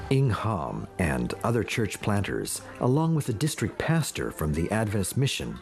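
A man narrates a charity documentary in a low voice with a lively, rising and falling delivery. His tone is earnest and appealing. Guitar music plays clearly beneath him. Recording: music bed under voice-over.

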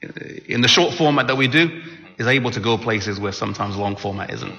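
A man from England whose accent has picked up some American tones, speaking as a guest about his ministry's video work in a soft-spoken, thoughtful way. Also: medium pitch, expressive, brisk. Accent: English with some American mixed in. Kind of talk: casual on-stage interview. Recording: long hall reverb.

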